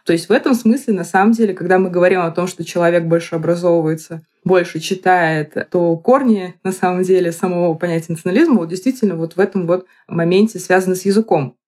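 A woman in her thirties giving reflective explanations in a podcast, picking her phrases haltingly and deliberately. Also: on the low side, fairly even intonation, dark tone. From Moscow, Russia.

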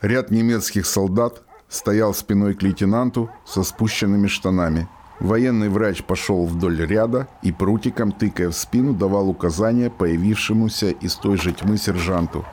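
Low-voiced man narrating a radio drama. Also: normal speed, darkish tone, a little hiss or hum.

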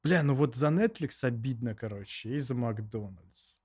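A young man with a slightly hoarse voice, chatting casually as a podcast co-host in slangy, sweary, rambling talk. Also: medium pitch, lively intonation, moderate pace, a little mellow, no room sound, clean studio sound. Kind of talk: casual chat with heavy swearing.

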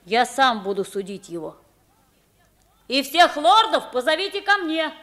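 High, bright-voiced earnest young boy. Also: big pitch swings, a little slow, full character voice. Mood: commanding. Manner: theatrical, earnest. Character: good-hearted pauper boy posing as king. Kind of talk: Soviet radio play acting.

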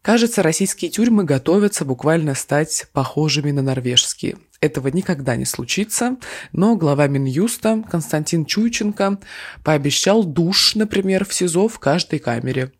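A woman's voice: low-pitched, lively intonation, moderate pace, breathy edge. A woman from Siberia co-hosting a morning news podcast over a video call, delivering the news with dry, wry humor. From Siberia, Russia. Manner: wry, dry humor, matter-of-fact reading. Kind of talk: news podcast presenting and banter.

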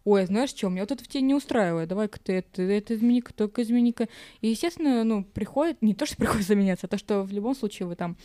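Female podcast guest or co-host, mid-pitched, dark mellow tone, informal and conversational, voices imagined remarks. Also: animated, very fast, no room sound. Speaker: podcast participant. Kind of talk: casual chat.

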